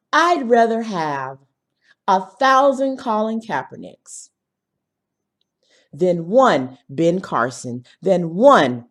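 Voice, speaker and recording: A woman giving casual, opinionated commentary in a blunt, emphatic manner, slightly hoarse from a cold. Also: medium pitch. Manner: blunt, emphatic, punctuating points with tag questions. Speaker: video commentator.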